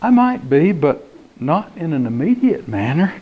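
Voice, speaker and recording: A man's voice: on the high side, very animated, measured pace, breathy edge, muffled recording, heavily acted. A man doing a dry, unflappable homeowner woman. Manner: dry, understated, firm.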